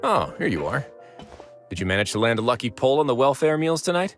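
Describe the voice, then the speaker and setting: An actor playing a calm, shrewd male duke in a video game, speaking in a measured, deliberate way with dry humor. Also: medium pitch, soft background music.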